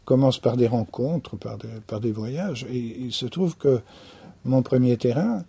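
Husky, mellow-voiced French-born man. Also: mid-range voice, lively intonation. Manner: thoughtful, pauses and repeats key phrases. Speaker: anthropologist. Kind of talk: reflective interview answer.

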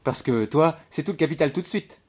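A man's voice: mid-range voice, fairly quick, a little mellow, pitched above the performer's own voice, natural voice. A man voicing a gossipy, earthy, blunt servant woman from the country, with slangy grammar, in a novel reading. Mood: uneasy teasing.

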